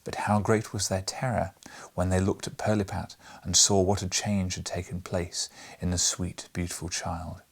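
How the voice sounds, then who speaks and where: A man reading a children's classic aloud as an audiobook narrator, measured and dramatic in his storytelling. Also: low-pitched, level tone, gravelly, slightly rough.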